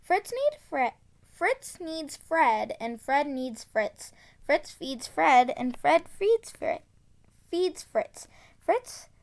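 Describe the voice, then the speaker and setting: A woman reading a children's tongue-twister book aloud, enunciating carefully and deliberately. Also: high voice. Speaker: storybook reader.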